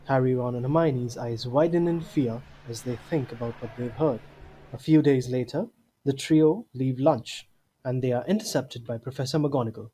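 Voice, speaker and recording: A man's voice: medium pitch, moderate pace, warm tone, slight background noise, poor audio. A man reading scripted narration for an audio drama.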